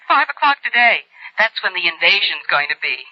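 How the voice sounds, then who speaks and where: Chatty housewife, breezy, lively intonation, telephone effect, vintage radio drama, lo-fi. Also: female voice, lowish voice, fairly quick, no echo. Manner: gossipy, breezy. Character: chatty, breezy housewife friend phoning long distance. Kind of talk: old radio drama acting. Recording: dull lo-fi old radio, telephone sound.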